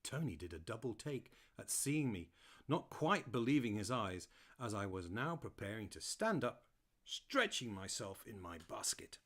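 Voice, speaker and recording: Man voicing a playful, animated, husky storybook dog. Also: on the low side, a little slow, exaggerated voice. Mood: mischievous, fond. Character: playful, chatty male Tibetan Terrier dog telling his story. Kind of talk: first-person audiobook narration.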